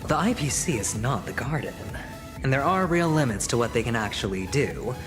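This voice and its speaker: A man's voice: mid-range voice, lively intonation, moderate pace, lightly acted. Male actor for scheming young negotiator in a story-driven game. Suave, showy, persuasive delivery over prominent music. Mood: smooth, scheming. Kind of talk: video game story dialogue.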